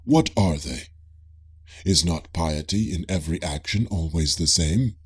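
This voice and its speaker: A man's voice: low voice, unhurried, slightly rough, pitched below the performer's own voice. A man voicing an inquisitive, mock-humble ancient Greek philosopher, formal and earnest, in an audiobook dialogue. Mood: earnest, questioning.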